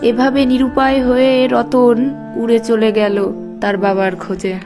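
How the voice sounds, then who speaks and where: High-pitched woman narrating a cartoon fairy tale. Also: normal speed. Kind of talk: scripted storybook narration. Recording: clear music behind.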